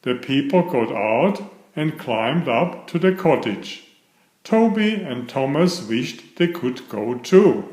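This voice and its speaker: A man's voice: medium pitch, sing-song, slow pace, darkish tone, echoey room, low-quality recording. A man narrating a children's story, reading aloud from a script.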